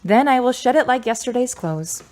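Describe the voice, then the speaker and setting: A woman's voice: a little high, expressive, normal speed, a little mellow, lightly acted. A woman playing a frank, self-assured character in a radio drama, speaking in an articulate, wry, wordy way. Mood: candid, assertive.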